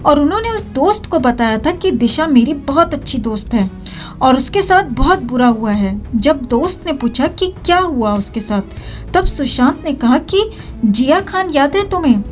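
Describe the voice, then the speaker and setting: High-pitched Indian woman narrating news over music. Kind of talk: scripted news narration addressed to viewers.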